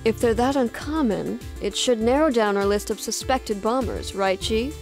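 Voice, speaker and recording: A woman's voice: a little high, normal speed, faint music behind, lightly acted. A woman playing a seasoned, no-nonsense police officer in an audio drama, curt and dry. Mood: businesslike.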